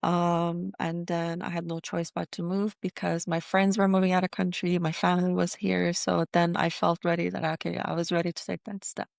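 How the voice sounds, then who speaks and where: A woman from Iran, a dentist guest in an interview, talking casually with many pauses and fillers. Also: on the low side, flat delivery, gravelly, dry recording, studio quality.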